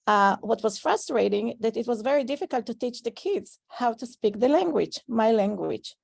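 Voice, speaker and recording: A woman's voice: fairly high pitch, expressive, normal speed, vocal fry, a little mellow. A middle-aged Israeli woman whose first language is Hebrew, speaking earnestly on a video call with many ums and uhs. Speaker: Hebrew professor, panelist. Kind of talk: webinar personal remarks.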